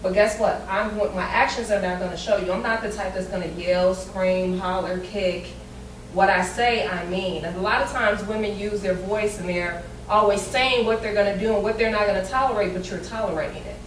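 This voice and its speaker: Soft-spoken woman in an echoey room. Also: medium pitch, steady tone, brisk. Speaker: panelist in a women's discussion circle. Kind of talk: casual group discussion. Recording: echoey room picked up from a distance, faint background hum.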